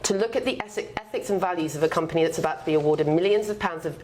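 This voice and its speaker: A woman arguing heatedly as a panelist in a studio debate, loud and impassioned. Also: fairly low pitch, very fast, noisy background.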